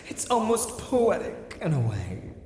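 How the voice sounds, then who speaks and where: A man playing a merman siren in an ASMR roleplay, speaking in courteous, formal phrases as a seemingly gentle creature with a predatory streak. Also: sits high, wildly swooping pitch, a little hiss or hum, heavily acted. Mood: menacing, gloating. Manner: soft, courteous, formal, halting speech. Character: male merman siren, feigning gentle innocence, secretly predatory.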